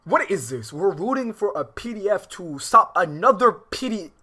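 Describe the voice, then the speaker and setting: A man's voice: high voice, very animated, moderate pace, pushed and bright. A man doing casual livestream commentary on a game, sometimes reading its text aloud, talking in profane, exclamatory slang and repeating phrases insistently. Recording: music behind the voice. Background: background music, clearly heard.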